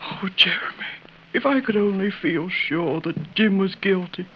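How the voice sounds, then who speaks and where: A woman's voice: medium pitch, a little slow, close to an everyday voice. A woman acting a sorrowful, pleading mother of a condemned son in an old radio melodrama. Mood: anguished, wistful. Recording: muffled, hissy old radio recording.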